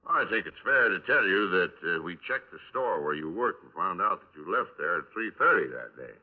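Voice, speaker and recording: An actor playing a folksy, patient but probing police lieutenant in a 1950s radio crime drama. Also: male voice, deep voice, big pitch swings, moderate pace. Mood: slyly probing. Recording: restored 1950s radio, narrow band.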